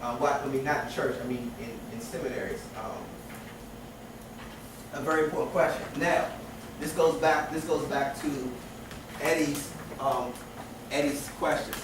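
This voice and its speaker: A man's voice: mid-range voice, fairly even intonation, a little slow, long pauses. A man teaching a class, lecturing with many restarts and rhetorical questions. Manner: repeats and restarts phrases, asks rhetorical questions. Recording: echoey room with noise from far off.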